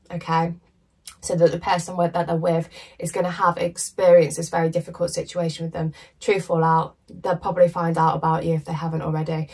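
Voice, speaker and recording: A woman in her thirties doing a chatty, emphatic tarot reading to camera in a small room, her voice going hoarse. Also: fairly low pitch, a little mellow, far from the mic. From United Kingdom. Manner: chatty, emphatic, casual swearing. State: losing her voice, coughing. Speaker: tarot reader. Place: small decorated room indoors.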